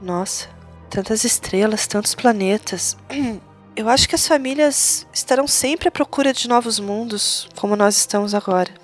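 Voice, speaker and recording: A woman's voice: mid-range voice, normal speed, soft background music, close to an everyday voice. A woman playing a practical mother on a space voyage in an audio drama. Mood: musing.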